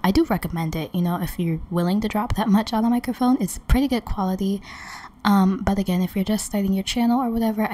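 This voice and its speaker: A woman who is losing her voice talks viewers through a tutorial in a friendly, chatty way. Her tone is mellow and dark, with a husky, breathy edge. She records close on a desktop microphone at home. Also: mid-range voice. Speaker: gaming channel host. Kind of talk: casual screen-recording tutorial. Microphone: desktop condenser microphone.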